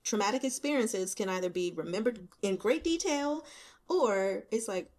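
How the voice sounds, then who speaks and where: Candid, animated woman chatting about books. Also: mid-range voice. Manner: candid, animated, colloquial, quick to laugh. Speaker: host of a book reading channel. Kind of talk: casual chatty book commentary. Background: rain.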